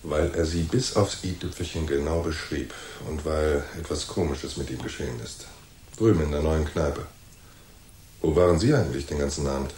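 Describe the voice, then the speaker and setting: Deep-voiced, sardonic hard-boiled private detective. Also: male voice, normal speed, darkish tone. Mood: probing. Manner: wry, unflappable, mock-courteous. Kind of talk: German radio crime drama, with first-person narration. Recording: roomy echo with faint noise.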